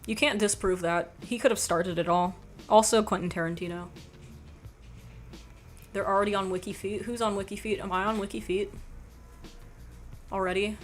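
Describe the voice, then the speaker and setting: Quiet-voiced woman streamer, rambling chat. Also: medium pitch, steady tone, normal speed, long pauses, soft background music. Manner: rambling, frank, laughs often, says "like" a lot. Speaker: livestream host reading chat. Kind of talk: casual chat.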